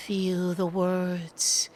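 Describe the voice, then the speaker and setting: A woman reciting poetry in a hushed, solemn manner, in spaced-out phrases. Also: on the low side, little pitch movement, very slow, slight background noise. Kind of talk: slow poetic recitation.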